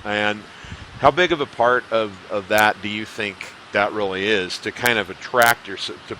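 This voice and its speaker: A man's voice: lowish voice, moderate pace, sharp tone, slight background noise, low-quality recording. A man hosting a casual music interview, asking long, winding questions with enthusiasm.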